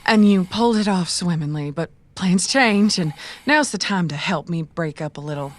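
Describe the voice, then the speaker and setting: Woman as a soothing, lowish-voiced guardian. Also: lively intonation, moderate pace, lightly acted. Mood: pleased, brisk. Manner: soothing, motherly. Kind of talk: cyberpunk audio drama acting.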